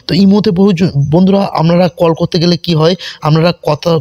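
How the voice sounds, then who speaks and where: A man's voice: sits high, steady tone, fast talker, darkish tone, lo-fi sound. A man narrating a step-by-step tech tutorial as a channel host, repeating himself and often addressing viewers as friends.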